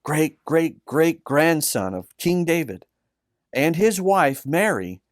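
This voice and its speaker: A man plays an old, devout uncle in a storybook, tender and hopeful. He speaks slowly and earnestly, choosing each phrase with care. The sound is dry and close. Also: on the high side, no echo. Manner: earnest, deliberate. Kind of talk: storybook dialogue.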